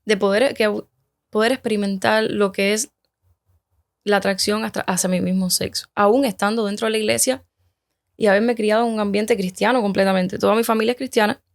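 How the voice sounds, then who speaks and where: A woman in her thirties from Cuba shares her personal story in a relaxed conversation. Her voice has a gravelly, fry-laden edge, and she speaks haltingly, often restarting her sentences. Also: mid-range voice. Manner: hesitant, halting, with many restarts. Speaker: guest. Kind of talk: personal testimony in casual conversation.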